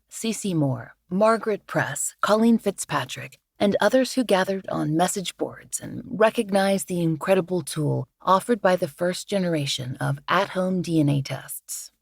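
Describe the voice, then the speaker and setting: A woman hosting a true-crime podcast in measured, earnest scripted narration, her voice a little scratchy from the flu. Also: on the low side, creaky, dry recording, clean studio sound. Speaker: podcast host.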